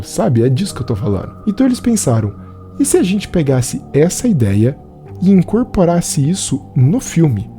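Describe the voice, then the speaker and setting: Man with very dark, mellow voice, Brazilian accent. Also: mid-range voice. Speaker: video essayist and film reviewer. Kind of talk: conversational scripted narration.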